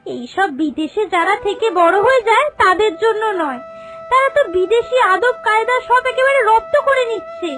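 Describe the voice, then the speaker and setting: A woman's voice: extremely high pitch, expressive, normal speed, over music. A woman voicing a mocking older village auntie in a cartoon, disdainful and nosy. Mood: scornful. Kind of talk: animated cartoon dialogue.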